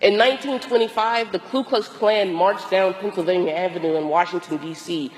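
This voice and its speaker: Hoarse woman priest in an echoing hall. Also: mid-range voice, fairly even intonation. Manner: slow, deliberate, with long pauses. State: hoarse, losing her voice from a cold; exhausted. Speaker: Episcopal priest. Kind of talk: reflective sermon.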